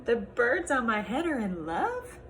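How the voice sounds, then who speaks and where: An excitable, worried storybook pig, acted out by a woman, exclaims and questions in disbelief. The voice is high and swings widely in pitch, with faint noise behind it. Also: measured pace, full character voice, rough recording. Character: excitable, worried cartoon pig with birds on head.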